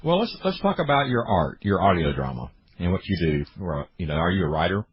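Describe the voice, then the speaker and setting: Man, low husky voice, casual interviewer, podcast host, dull lo-fi recording. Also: very animated, brisk, dry recording.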